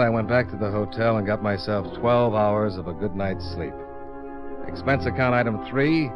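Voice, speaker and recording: A man's voice: on the low side, lightly acted. An American man playing a cool, wisecracking investigator in a dry, sardonic 1950s radio detective style, on an old recording. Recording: muffled old radio, loud music.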